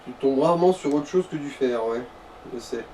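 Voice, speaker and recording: A man's voice: medium pitch, moderate pace, mellow. A man chatting casually as he guides a friend through a video game, dry and slangy. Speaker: co-commentator guiding the player. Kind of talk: casual gaming chat. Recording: slightly distant mic, faint background noise.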